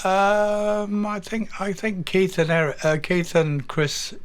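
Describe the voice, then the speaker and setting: An older English man, a guest drummer, telling stories in a casual interview, halting with many fillers and repeated words. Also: sits high, clean tone. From England.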